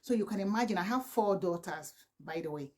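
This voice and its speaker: A woman's voice: medium pitch, animated, a little fast, a little mellow. A woman in her forties with a Nigerian accent, whose first language is Igbo, giving earnest, pleading advice to camera with many restarts. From southeastern Nigeria. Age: 40s. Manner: earnest and pleading, with repeated "please" and frequent restarts. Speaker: vlogger, mother of four.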